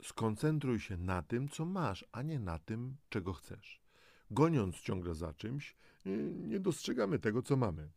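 A man's voice: medium pitch, big pitch swings, a little slow, vocal fry, a little mellow, dry recording, studio quality. A man co-presenting a self-help program, reading out instructions and dropping in informal asides.

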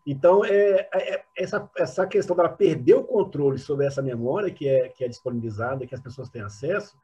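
A Brazilian man giving spontaneous academic commentary as a panelist, halting and repeating words as he searches for phrasing, over dull online call audio. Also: mid-range voice, dark tone, husky.

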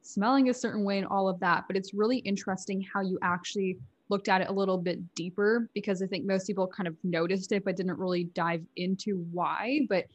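A woman's voice: medium pitch, fairly even intonation, fairly quick. A woman hosting a casual interview, upbeat and encouraging toward her guest. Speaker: podcast host.

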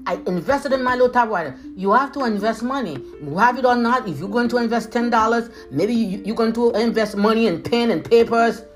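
Haitian-accented woman, motivational podcast host, emphatic and repetitive, slightly high pitch, faint music bed. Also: brisk, hardly any pauses. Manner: emphatic, repeats phrases, addresses listeners as leaders. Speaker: motivational speaker.

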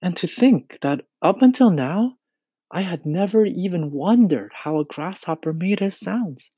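A small, polite boy with a raised, lively voice, put on by a man reading a storybook. He is wide-eyed and earnest, eagerly curious about everything. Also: sits high, a little slow, husky, dry recording, pitched above the performer's own voice, full character voice. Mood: curious wonder.

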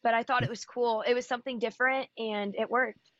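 A raspy-voiced woman talks in an easy, upbeat way, dotted with ums and likes. The sound is dull and dry, with no room around it. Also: a little high, fairly even intonation, a little fast, fairly bright tone, no room sound. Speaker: guest singer-songwriter. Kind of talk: casual interview chat. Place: Nashville area.

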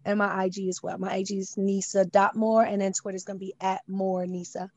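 A woman's voice: medium pitch, little pitch movement, fairly quick, a little mellow, dull audio, slight background noise. A woman guest on a podcast, running through her plugs in a breezy, matter-of-fact way. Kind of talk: casual chat.

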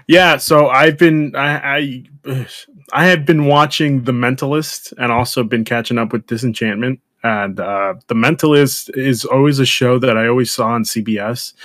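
A male podcast co-host talking casually, wandering through his point with fillers and restarts. His voice is mid-pitched and sits in a dry, dead-sounding room. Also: steady tone, normal speed, fairly bright tone, no echo. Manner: rambling, many restarts and fillers. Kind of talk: casual chat.

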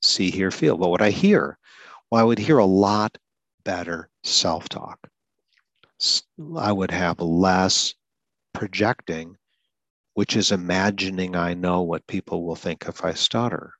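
A man with a very low voice talks through a goal-setting exercise in an earnest, coaching way. Now and then he repeats a word or restarts. The sound is dry and close, with no room around it. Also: a little slow, a bit bright, no echo, lo-fi sound. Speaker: podcast host and stuttering advocate. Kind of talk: solo podcast explanation.

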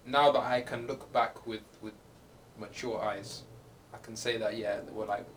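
A young man hosting a casual chat podcast, opinionated and quick to laugh. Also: lowish voice. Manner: laughs often, animated and opinionated. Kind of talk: casual chat among friends. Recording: distant mic, faint room noise.

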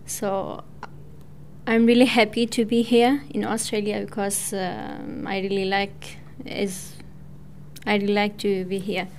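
A young woman from Pakistan, Persian her first language, talks slowly in careful, hesitant English. Her voice stays level and even, with little rise or fall. A faint hiss of noise sits behind her. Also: medium pitch. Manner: hesitant, halting non-native English with fillers. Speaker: English student speaking on community radio. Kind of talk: prepared personal talk.

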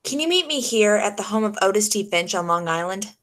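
A woman's voice: mid-range voice, animated, brisk, a bit bright, lightly acted. A woman playing a wry, skeptical female private detective in the style of a 1940s radio drama. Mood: urgent, wry. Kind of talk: 1940s old-time radio detective acting. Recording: music behind the voice.

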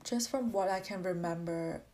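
A woman's voice: on the low side, normal speed, dark tone. A young woman with an American accent, a college student reflectively telling her personal story. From grew up in Massachusetts, US, born in Vietnam. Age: young adult.

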